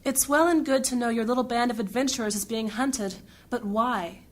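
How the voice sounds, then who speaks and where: A woman's voice: on the high side, normal speed, close to an everyday voice. A woman playing a poised, strong-willed guild noblewoman in a fantasy audio drama, formal and articulate in her insistence. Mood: insistent, determined.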